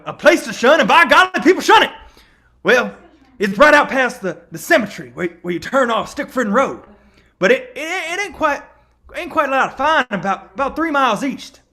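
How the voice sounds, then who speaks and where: Folksy old timer for old radio show, sharp and swoopy, rambles n repeats himself, kinda creaky. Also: male voice, extremely high pitch, very animated, exaggerated voice. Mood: rambling, wary. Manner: rambling, halting, repeats himself, rustic speech. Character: folksy, excitable, superstitious old man, rural yarn-spinner. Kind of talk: vintage radio drama acting.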